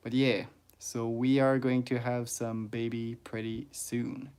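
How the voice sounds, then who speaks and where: A man with a French accent, a native French speaker, talking casually on a livestream about his ant-keeping hobby, pausing often with "uh" and stopping to greet viewers in the chat. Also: mid-range voice, level tone, a little mellow, clean tone. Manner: halting, many "uh"s and restarts, greets chat viewers.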